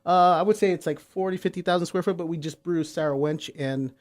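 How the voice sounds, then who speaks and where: Upbeat man pitching his brewery. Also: a little fast. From San Diego, USA. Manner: eager, promotional, repeats words for emphasis. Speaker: brewery guest. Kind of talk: casual radio interview. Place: radio studio.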